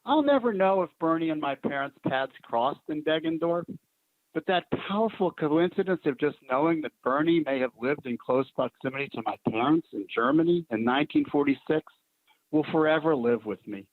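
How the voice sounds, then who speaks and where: A man's voice: fairly high pitch, expressive, moderate pace, dry recording. A man, a legislator, delivers a solemn prepared tribute. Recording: tinny, dry phone-like line.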